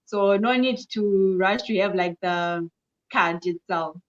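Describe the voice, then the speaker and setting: A woman's voice: medium pitch, slow pace, lo-fi sound. Cheerful Zimbabwean woman, repeating for emphasis. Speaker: guest. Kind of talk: casual interview answers.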